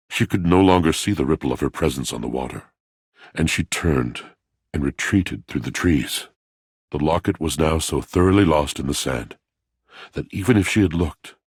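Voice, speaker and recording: A man's voice: very deep voice, breathy edge, dry recording, studio quality, exaggerated voice. A man playing a melodramatic, lovelorn poet in a video game, haughty and seductive, speaking in grand, formal, theatrical phrases. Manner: grandiose, formal, deliberate dramatic delivery. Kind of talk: theatrical, poetic character dialogue.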